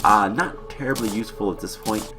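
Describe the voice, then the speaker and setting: A man's voice: lowish voice, a little fast. A man hosting a casual let's-play, rambling with many fillers and sounding slightly stuffy from a cold. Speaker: gaming channel host. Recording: voice with game music behind. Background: game music.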